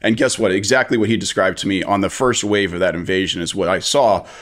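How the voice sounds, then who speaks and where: A man's voice: deep voice, fast, a bit bright. An American man, a veteran and interview guest, giving candid, blunt and passionate answers with frequent restarts. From United States.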